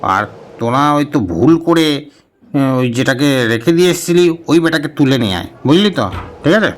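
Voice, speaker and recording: A man voices a bumbling celestial clerk for a comic cartoon. The voice sits fairly high, suited to a hapless servant of a king. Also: animated, moderate pace, close to an everyday voice. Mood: brisk ordering.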